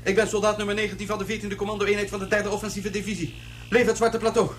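An actor playing a defiant captive commando in a radio drama, curt and reciting drilled phrases. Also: male voice, sits high, little pitch movement, quick pace, fairly bright tone, slight background noise. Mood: rigidly defiant. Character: defiant captive commando soldier, stubbornly reciting his rank.

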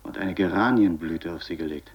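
An actor playing an uneasy, stubborn astronaut who reports tersely and haltingly over an intercom in a German science-fiction radio play. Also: male voice, low-pitched, noisy background. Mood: grave, reporting. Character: uneasy, stubborn astronaut exploring a wrecked asteroid station. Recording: dull, lo-fi radio sound.

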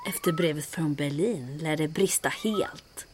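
A woman playing a brisk, commanding and impatient resistance leader in an old-time radio adventure comedy. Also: deep voice, a little hiss or hum, close to an everyday voice. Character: bossy, brisk female wartime resistance leader.

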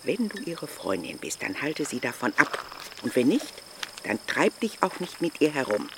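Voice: medium pitch, huge pitch swings, normal speed. A stern village aunt in a radio drama. She admonishes reproachfully, with strongly varied intonation. A faint bed of outdoor noise sits underneath. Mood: stern, scolding. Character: stern, scolding village aunt warning a girl.

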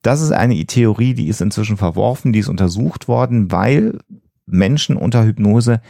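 Low, creaky-voiced man explaining earnestly. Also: normal speed, mellow, no room sound, clean studio sound. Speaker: podcast co-host. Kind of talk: explanatory podcast discussion.

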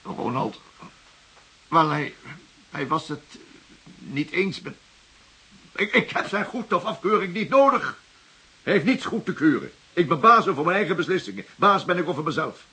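A wealthy, defensive widower in his fifties for a radio play. His voice is very rough and husky with a sharp edge, and it swings widely as he stammers, repeats himself and blusters. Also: a little high, big pitch swings, normal speed, long pauses, heavily acted. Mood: hesitant, uneasy, then defiant, proud. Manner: stammering, repeating words, blustering when challenged. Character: wealthy 55-year-old widower, vain, defensive, easily flustered and indignant. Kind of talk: Dutch radio drama dialogue.

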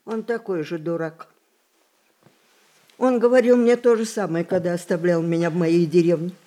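An actress playing a spirited, rebellious centenarian matriarch, romantic and commanding, in a theatrical radio play style. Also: female voice, lowish voice, fairly even intonation, normal speed, mellow, natural voice. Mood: indignant, scornful. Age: older.

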